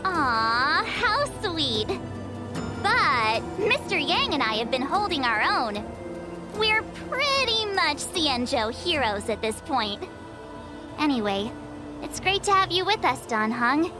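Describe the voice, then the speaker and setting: A woman voicing a cheeky, girlish young adventurer in a game, with a perky, informal and expressive delivery. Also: high-pitched, slow, music in the background, exaggerated voice. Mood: teasing, relieved. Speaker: voice actor in a game cutscene. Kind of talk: animated game dialogue.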